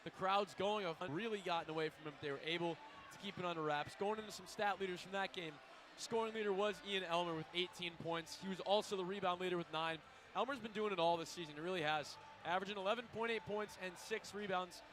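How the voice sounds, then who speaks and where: A young man cohosting a student radio basketball pregame courtside, emphatic and loud, running through stats. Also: high voice, fast talker. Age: young adult. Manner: emphatic, loud, stat-heavy. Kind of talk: live basketball pregame analysis. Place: courtside in a packed arena. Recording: faint arena noise behind.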